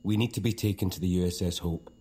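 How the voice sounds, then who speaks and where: An actor playing a reserved, polite and guarded spaceship lieutenant in an audio drama. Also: male voice, low voice, fairly even intonation, fast talker, mellow, slightly rough. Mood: polite, firm.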